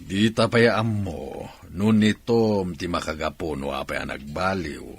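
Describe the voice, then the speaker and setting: A man's voice: low-pitched, a little slow, vocal fry, slightly rough, no room sound, full character voice. A man playing an opinionated, knowing villager in an Ilocano radio drama.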